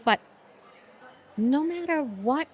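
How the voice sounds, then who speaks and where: A woman's voice: high voice, lively intonation, takes it slowly. An older woman from Romania, a guest talking casually in an interview, chatty and often laughing. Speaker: guest, business owner.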